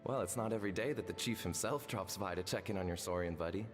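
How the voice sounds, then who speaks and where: Male actor as fantasy game scholar, young, devoted, earnest, lowish pitch, reverberant hall. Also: fast talker, close to an everyday voice. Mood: tender, devoted. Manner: earnest, explanatory. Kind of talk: video game voice acting. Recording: long hall reverb, music underneath.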